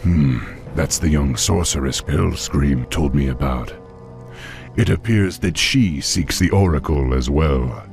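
An actor playing a young orc war chief in a video game, calm, firm and commanding. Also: male voice, creaky, soft background music, exaggerated voice. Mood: calm confidence. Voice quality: very deep. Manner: measured, firm.

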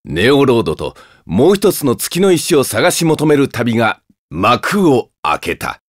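A man's voice: mid-range voice, huge pitch swings, measured pace, dry recording, clean studio sound. A man playing a story narrator for an anime game, reading scripted narration dramatically. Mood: dramatic.

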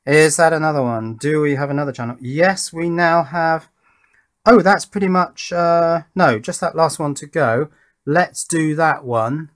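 A man's voice: a little high, fairly even intonation, unhurried. Man thinking aloud at a workbench. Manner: thinking aloud, tentative and musing. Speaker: host of an electronics build channel. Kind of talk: casual hands-on demonstration commentary. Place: indoor workbench.

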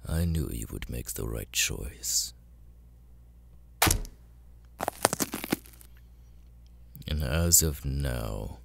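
A man playing a cold, menacing, seductive demon lord in an ASMR audio roleplay, breathy and gravelly, often near a whisper, with slow deliberate pauses. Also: extremely low pitch, a bit bright, heavily acted. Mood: cold, sinister.

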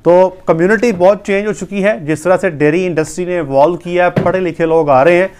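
A man's voice: high voice, fairly quick, darkish tone, slight background noise. A man, a veterinary doctor talking casually to camera in a small room on a lapel mic, earnest and gesturing as he explains. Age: 30s. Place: small indoor room with wood-panelled wall.

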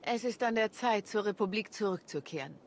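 An actress playing a resolute, courteous female commander in a video game, calm and formal. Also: mid-range voice, a little fast, a little hiss or hum, lightly acted. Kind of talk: video game cutscene acting.

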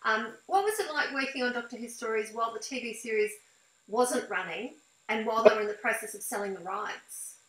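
A woman's voice: a little high, a little fast. A middle-aged woman hosting an interview over a video call, moving between prepared introduction and conversational questions. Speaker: interviewer, host. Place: indoor room at home, video call. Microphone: device mic on a video call. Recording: echoey room on video call.

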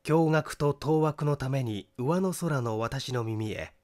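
A man reading a detective novel aloud as a dramatic narrator, in a measured, theatrical way. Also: mid-range voice, darkish tone. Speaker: audiobook reader, small-theatre performer.

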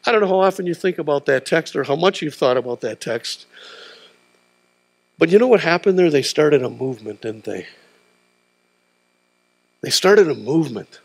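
A man's voice: fairly high pitch, animated, moderate pace, creaky, long pauses. A middle-aged man preaching a sermon in a large church auditorium, prodding the crowd with questions like "huh?" as he paces the stage. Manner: call-and-response preaching, tag questions like "huh?". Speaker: pastor.

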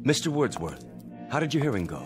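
A man's voice: medium pitch, sing-song, fairly quick, over loud music, natural voice. An actor playing a sober, matter-of-fact male prisoner awaiting judgment in a radio drama.

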